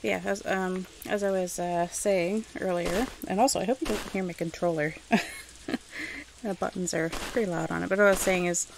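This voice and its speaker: A woman's voice: fairly low pitch, steady tone, moderate pace, creaky, background noise. A woman giving casual let's-play commentary as she games, rambling and thinking aloud to herself. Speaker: video game streamer narrating gameplay.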